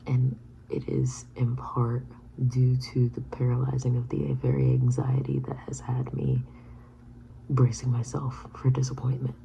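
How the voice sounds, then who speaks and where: A woman in her thirties whispering a personal vlog, halting and reflective. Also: rumbling low, gravelly, mellow, highs cut off, slight background noise. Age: 30s. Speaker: vlogger.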